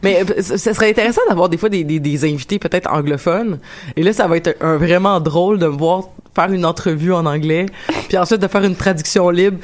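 A woman's voice: lowish voice, a little fast, a little mellow. A woman with a Quebec French accent hosting a casual radio show, joking and rambling with lots of restarts. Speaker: host.

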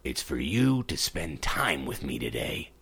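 Man doing a soft-spoken little frog. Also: a bass voice, very animated, unhurried, gravelly, husky, pitched below the performer's own voice, heavily acted. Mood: gentle. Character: small polite green frog, calm and patient.

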